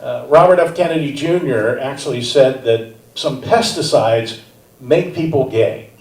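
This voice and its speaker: A man hosting a live stand-up comedy show, introducing comics with wry, deadpan jokes from the stage. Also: medium pitch, unhurried. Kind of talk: stand-up emcee set. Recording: roomy live theater, mic at a distance, crowd. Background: audience laughter.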